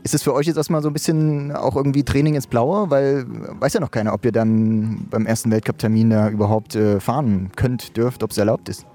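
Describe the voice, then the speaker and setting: A man's voice: medium pitch, fairly even intonation, brisk, hardly any pauses, warm tone. A man hosting a sports podcast, asking questions in a friendly, hesitant way with frequent filler sounds. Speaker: podcast host, interviewer. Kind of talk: casual podcast interview.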